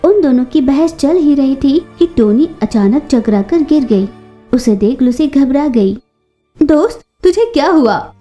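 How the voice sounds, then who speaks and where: A woman's voice: sits high, unhurried, dark tone. A woman narrating a scripted children's story as the storyteller. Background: music.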